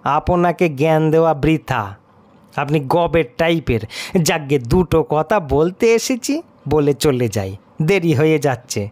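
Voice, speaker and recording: A man's voice: a little high, expressive, unhurried, darkish tone, no room sound. An actor playing an irascible, scornful male stranger in an audio story, scolding sarcastically. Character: irascible cigar-smoking stranger, scornful critic of ghost stories. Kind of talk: audio horror-comedy story acting.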